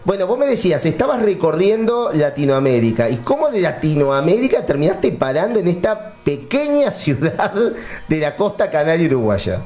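A man's voice: fairly high pitch, big pitch swings, normal speed, a little mellow. A man hosting a radio interview, chatty and laughing easily as he asks questions. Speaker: interviewer, host.

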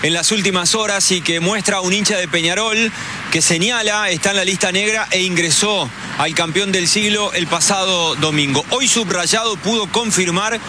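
Male news reporter over street noise. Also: sits high. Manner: measured, slightly halting news delivery.

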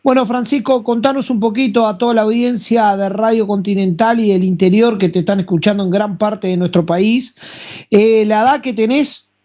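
A woman's voice: medium pitch, moderate pace, dark tone. A woman with a Uruguayan accent hosting a radio show and interviewing a guest, on dull broadcast audio.

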